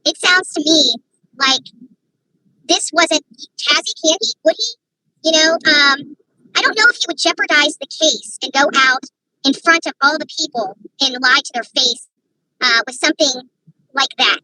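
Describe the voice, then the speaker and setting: Woman livestreamer with a bright, sharp tone, rambling and indignant, talking to her viewers through a phone. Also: high-pitched, a little slow, low-quality recording. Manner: opinionated, rambling, rising to indignant rhetorical questions. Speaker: online commentator. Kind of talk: livestream commentary.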